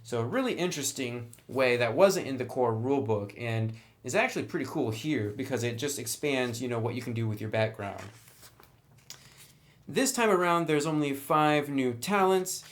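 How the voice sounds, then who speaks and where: A man's voice: medium pitch, expressive, moderate pace. A man talking through a casual, explanatory review in a conversational way, with dry, wry asides. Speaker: tabletop game book reviewer.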